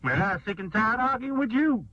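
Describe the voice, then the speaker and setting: A man's voice: sits high, expressive, a little fast, sharp tone, muffled recording. A man voicing an easygoing elderly grandfather who scolds his wife in a radio comedy sketch, drawling and mock-patient. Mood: arguing.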